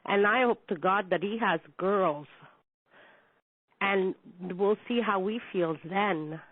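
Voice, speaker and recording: A woman's voice: medium pitch, slow, no echo. A woman calling in over an internet line to give her opinion, speaking in an earnest, firm manner. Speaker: caller. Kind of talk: opinion comment on a call-in. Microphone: Skype web call. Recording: dry narrow internet call audio.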